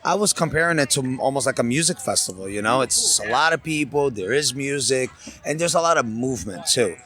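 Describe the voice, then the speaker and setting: Bright-voiced enthusiastic event worker. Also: male voice, mid-range voice, animated, fairly quick. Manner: enthusiastic, conversational. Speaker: event vendor staff. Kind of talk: outdoor event interview. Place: outdoor race event.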